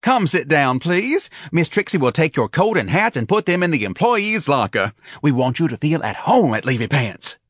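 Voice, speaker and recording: A man voicing a dapper, eager and easily flustered male office manager in an audiobook, bright and effusive in manner. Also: fairly high pitch, very animated, moderate pace, few pauses, slightly rough, no echo, studio quality, pitched above the performer's own voice, full character voice. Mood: eager welcome. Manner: bright, effusive, anxiously apologetic.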